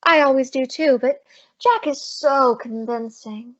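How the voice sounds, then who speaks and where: A woman's voice: sits high, a little slow, muffled recording. A woman playing a brassy, big-hearted, wisecracking working woman in an old-time radio comedy. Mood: teasing.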